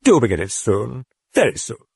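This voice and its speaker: A sly, sneering demon, put on by a man purrs in a raised, sing-song register, dripping with false politeness. Each taunt swoops up and down with mockery. The voice is husky and close, with no room around it. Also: a little high, very animated, moderate pace, dry recording, voice pushed up, full character voice. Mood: sardonic. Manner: mocking, sardonic and falsely cordial. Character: sardonic mocking demon possessing a girl.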